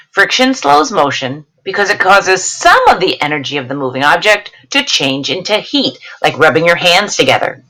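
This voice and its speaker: Woman teacher reading aloud with lively, swooping intonation. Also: lowish voice, poor audio. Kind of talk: read-aloud science lesson with explanatory asides.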